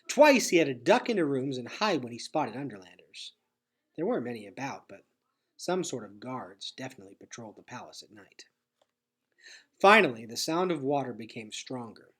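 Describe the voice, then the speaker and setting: A man reading a children's adventure novel aloud in an expressive, dramatic storytelling style. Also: medium pitch, slightly rough.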